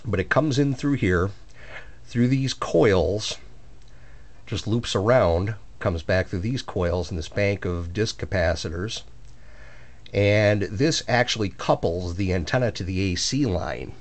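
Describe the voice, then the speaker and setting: A man's voice: on the low side, a little slow. A man casually narrating a demonstration of his hobby project in a plainspoken, explanatory way. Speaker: hobbyist restorer of antique radios.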